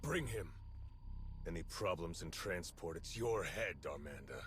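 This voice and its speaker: Game henchman: threatening male warrior, deepish, clipped orders, slight background noise. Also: expressive, unhurried, a bit bright. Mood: curt threat. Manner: curt, ordering. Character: stern, threatening male Mandalorian enforcer. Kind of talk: video game cutscene dialogue.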